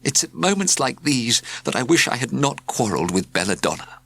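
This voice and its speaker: A man's voice: mid-range voice, big pitch swings, brisk, creaky, fairly bright tone, husky, no echo, pitched above the performer's own voice, exaggerated voice. A man voicing a dramatic, anxious male wizard schoolteacher in a storybook reading. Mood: gloomy. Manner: commanding, anxious, gloomy.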